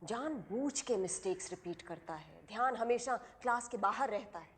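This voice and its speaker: An actress playing a stern female schoolteacher, listing a pupil's failings in rapid, disapproving film dialogue. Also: fairly high pitch, expressive, close to an everyday voice.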